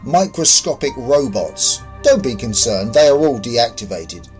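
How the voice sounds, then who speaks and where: A man voicing a calm, courteous alien visitor with a measured, explanatory manner in a science fiction story. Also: medium pitch, soft background music. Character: calm otherworldly visitor of indeterminate sex, puzzled, courteous.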